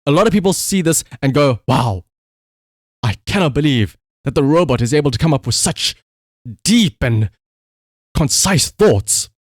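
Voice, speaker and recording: A man's voice: on the high side, sing-song, moderate pace, dry recording, studio quality. A man giving casual livestream commentary in a rambling, conversational, emphatic way, as the host talking to viewers.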